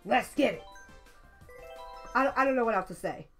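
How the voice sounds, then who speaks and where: A young woman with a slightly raspy voice from being sick, chatting casually and swearing as she plays a racing game on a headset mic. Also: on the high side, lively intonation, moderate pace, soft background music. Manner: chatty, swears often, loud outbursts at the game. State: a little sick. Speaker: gaming channel host. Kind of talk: casual let's-play commentary.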